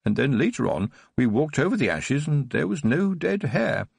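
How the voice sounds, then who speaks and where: A husky man reading as a frail old nobleman, lifted a little higher than his own voice, drifting into tender memories with a trembling, wistful lilt. The delivery is warmly animated and gravelly, recorded close and dry. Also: measured pace, no room sound, heavily acted. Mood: wistful, joyful. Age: older. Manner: trembling, wistful, rambling recollection. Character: frail elderly male aristocrat, tearful and nostalgic.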